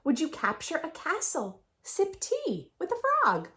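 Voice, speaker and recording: A woman reading a picture book aloud for young children in an expressive, sing-song storytelling manner, adding her own asides. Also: sits high, unhurried. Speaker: children's read-aloud host.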